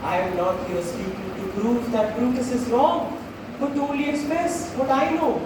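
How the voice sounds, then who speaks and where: A young man playing a grieving, slyly persuasive Roman orator in a school stage play, declaiming with emphatic pauses. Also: extremely high pitch. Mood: solemn, ironic. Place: school stage, recorded from audience distance. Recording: echoey hall, distant mic, noisy.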